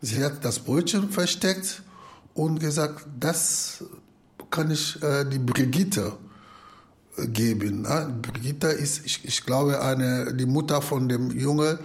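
A non-native German speaker, a man with a foreign accent, retelling and reflecting in a halting, searching way. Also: mid-range voice. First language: not German. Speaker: asylum seeker retelling a story.

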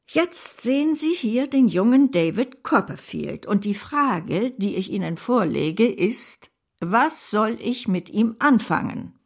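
Creaky, commanding older aunt. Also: female voice, medium pitch, lively intonation, slow pace, full character voice. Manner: commanding, emphatic, exasperated. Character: brusque, eccentric, warm-hearted older aunt. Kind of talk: radio play acting.

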